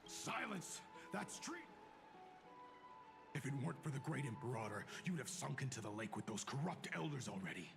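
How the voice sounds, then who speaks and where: An actor playing a stern, zealous imperial loyalist in a video game, scolding indignantly in a gravelly voice over music. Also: male voice, medium pitch, sing-song, normal speed. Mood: angry. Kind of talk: video game character dialogue. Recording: loud music behind the voice.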